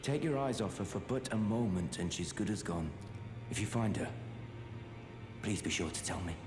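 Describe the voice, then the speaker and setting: A man plays a polite young nobleman in a fantasy game. His voice is deep and genteel, with a wry conversational turn. It echoes, with music clearly behind. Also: animated, normal speed, natural voice. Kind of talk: fantasy video game acting.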